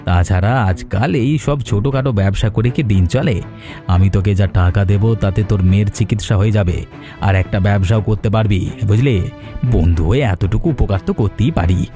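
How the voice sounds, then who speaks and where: A man's voice: fairly low pitch, moderate pace, lightly acted. A man playing a wealthy, scheming villager in a moral-story cartoon, haughty, needling and condescending. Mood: condescending, persuasive. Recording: music behind the voice.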